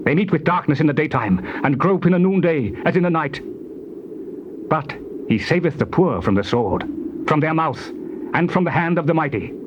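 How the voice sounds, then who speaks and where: An actor playing a solemn, admonishing friend in a dramatized Bible reading, theatrical and measured in delivery. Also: male voice, fairly high pitch, animated, close to an everyday voice. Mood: stern, admonishing. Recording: muffled, noisy old cassette tape.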